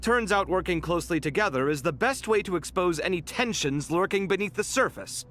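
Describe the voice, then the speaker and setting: Animated, higher-pitched young male scholar. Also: a little fast, faint music behind. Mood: exasperated. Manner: animated, theatrical. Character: expressive young male scholar, conscientious and quick to grumble. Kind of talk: video game dialogue acting.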